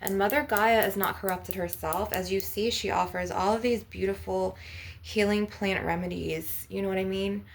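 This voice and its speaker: A woman's voice: mid-range voice, fairly even intonation, normal speed, vocal fry. A woman speaking as an ASMR energy healer in an informal, rambling spiritual session, with a blunt, assertive, emphatic way of talking.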